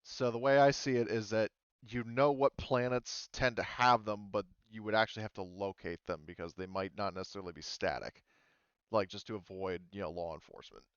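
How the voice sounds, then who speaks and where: Deep, clear-voiced man, hesitant game master. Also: steady tone, brisk, dry recording, highs cut off. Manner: hesitant, thinking aloud. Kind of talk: casual tabletop game refereeing.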